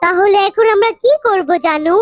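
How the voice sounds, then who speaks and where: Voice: extremely high pitch, moderate pace, soft background music. A performer voicing a lovestruck male ant suitor in a melodramatic cartoon romance. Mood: romantic.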